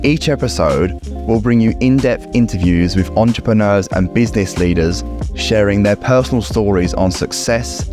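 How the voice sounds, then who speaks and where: A man's voice: lowish voice, normal speed, over music. A man hosting a podcast, reading a scripted intro and then asking encouraging interview questions. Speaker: podcast host.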